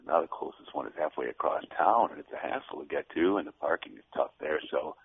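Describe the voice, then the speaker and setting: A deep-voiced man calls in to a radio show, gravelly and husky, speaking in halting bursts that trail off mid-sentence. He talks quickly. The phone line is tinny and dry. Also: dry recording. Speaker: radio caller. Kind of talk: casual phone-in chat.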